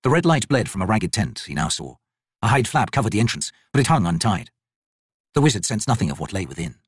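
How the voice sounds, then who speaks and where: A man's voice: lowish voice, sing-song, fairly quick, breathy edge, dry recording, studio quality. A man reading dramatic fantasy narration as an audiobook narrator.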